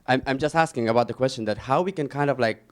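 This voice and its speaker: A man's voice: mid-range voice, fast. A man in the audience at a panel, talking at length with many fillers such as "kind of, like". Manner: rambling, heavy filler words. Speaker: audience member.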